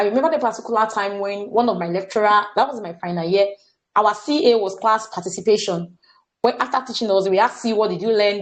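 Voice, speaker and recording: Brief: young Nigerian woman, workshop facilitator, earnest, mid-pitched, stumbles on words and restarts. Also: low-quality recording. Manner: earnest, repeats words and says "what's it called" often. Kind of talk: staff training talk.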